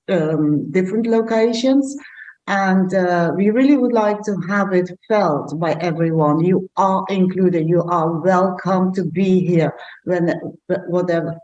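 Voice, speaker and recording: A woman from Aruba, a project leader for inclusion, introducing herself on a video call in an earnest, halting way. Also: lowish voice, a little mellow, dull audio. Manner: earnest, pausing to find words. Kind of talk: casual self-introduction.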